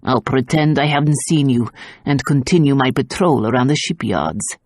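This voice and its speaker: A gruff, scolding police officer, voiced deep and husky by a woman reading a storybook aloud. His lines come low and stern, on a dry close recording. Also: expressive, normal speed, a little mellow, no echo. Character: gruff whiskered middle-aged male police officer.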